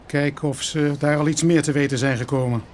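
An actor playing a sceptical, businesslike police inspector in a radio drama, with a dry, formal way of reasoning. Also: male voice, fairly high pitch, steady tone, brisk, a little hiss or hum, close to an everyday voice.

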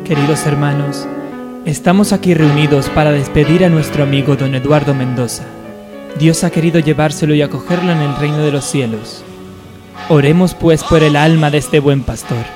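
Male actor as funeral priest, lightly high male voice, solemn recitation, clear music bed. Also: fairly even intonation, moderate pace, lightly acted. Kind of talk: radio soap opera acting.

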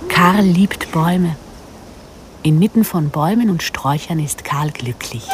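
An actress playing a composed contract killer and devoted mother who gives measured, matter-of-fact accounts in a radio drama. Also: fairly low pitch, lively intonation. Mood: cool, matter-of-fact. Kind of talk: first-person radio drama monologue.

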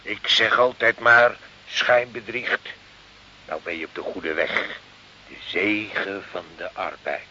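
Bright-voiced, unhurried pious priest. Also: male voice, on the low side, slightly rough, exaggerated voice. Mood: pious, wistful. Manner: unctuous, solemn, hesitant when surprised. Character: pious Italian village priest, fatherly, grateful, gently scheming. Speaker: actor playing a priest. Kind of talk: Dutch radio drama acting. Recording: old hissy, muffled radio recording.

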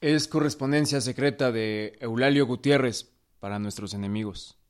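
A man's voice: medium pitch, normal speed, background noise, lightly acted. An actor playing an eager military aide reporting to his general in a radio drama. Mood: urgent.

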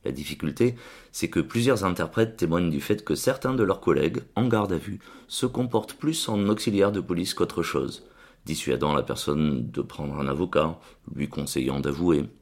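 A man reading a scripted radio column in a steady, explanatory way. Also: low voice, normal speed, vocal fry.

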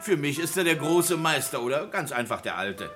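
An actor playing a chummy, teasing lawyer colleague in an old radio crime play. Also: male voice, fairly high pitch, big pitch swings, normal speed, a bit bright, soft background music. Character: jovial, joshing lawyer colleague, middle-aged man. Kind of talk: 1950s-80s German radio crime play acting.

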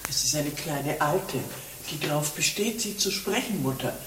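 Distant nun in a reverberant hall. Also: female voice, low-pitched, expressive, normal speed, a bit bright, slight background noise. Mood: timid, deferential. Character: dutiful, deferential convent nun. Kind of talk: radio play acting.

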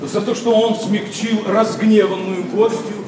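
An actor playing a passionate, sardonic young gentleman in a verse radio play, mocking and declamatory. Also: high voice, level tone, measured pace. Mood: caustic. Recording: echoey, distant, noisy stage sound.

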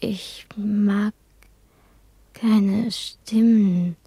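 Entranced fragile girl, breathy whisper, extremely slow halting words, close dry radio play sound. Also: mid-range voice, steady tone, dark tone, no room sound, heavily acted. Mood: dazed, frightened. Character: traumatised young woman in a trance. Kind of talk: radio drama acting.

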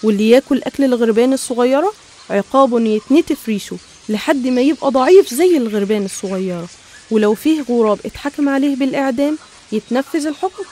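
Woman narrator, Egyptian accent, warm mellow tone, fast pace, scripted religious storytelling. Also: a little high, a little hiss or hum.

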